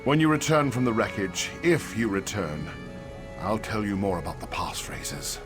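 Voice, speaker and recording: A weary old man, voiced by an actor in a fantasy game, grave and regretful. His low voice moves in lively swings, with clear music behind it. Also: normal speed. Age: older. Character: weary, cautious old male pilgrimage survivor, regretful.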